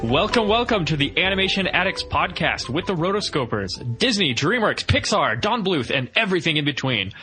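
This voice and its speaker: A man's voice: fairly high pitch, sing-song, a little fast, few pauses, over music. A man hosting a podcast in an upbeat, jokey, enthusiastic way, mixing banter with review talk. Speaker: podcast host.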